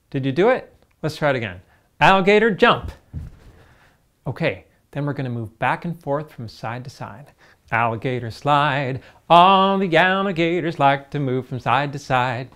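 A man's voice: medium pitch, a bit bright. A middle-aged man hosting a children's puppet show, cheerfully leading call-and-response dance moves. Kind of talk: kids' show with songs. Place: indoor studio set with painted backdrop. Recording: roomy studio with guitar music behind.

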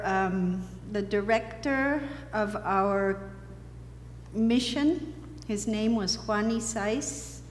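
Middle aged woman from costa rica, talks slowly, giving testimony, echoey church w faint noise. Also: mid-range voice. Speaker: missionary guest. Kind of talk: personal testimony to a congregation. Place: large church sanctuary, seated on stage.

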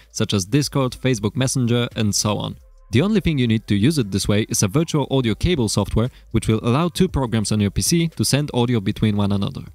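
A man's voice: medium pitch, darkish tone, no room sound. A man narrating a scripted tutorial in an explanatory, step-by-step way, recorded as a close-miked voice-over. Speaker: tutorial presenter. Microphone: close mic voice-over.

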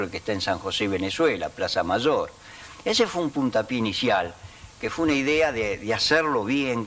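A man's voice: on the low side, a bit bright, recorded from a distance, slight background noise. An older man with a Rioplatense accent recounting his trade as a sign painter in an interview, with a handheld mic. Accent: Rioplatense Spanish. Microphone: handheld mic held by interviewer.

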